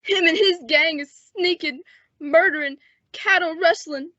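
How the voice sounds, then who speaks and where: A wounded western lawman, played by a young woman, speaks in a high, strained voice. He is resigned and folksy, in a radio play with a dry, dulled sound. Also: a little slow, pushed and bright, dry recording, heavily acted. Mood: pained, bitter. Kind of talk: old-time western radio drama acting. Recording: dull, dry lo-fi radio recording.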